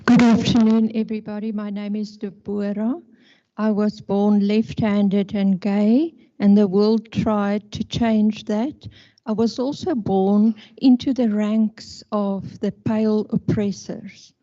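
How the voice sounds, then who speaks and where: Slow, mellow, candid woman. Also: medium pitch, fairly even intonation. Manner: deliberate, candid. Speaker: panelist. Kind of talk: panel self-introduction. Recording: dull lo-fi sound.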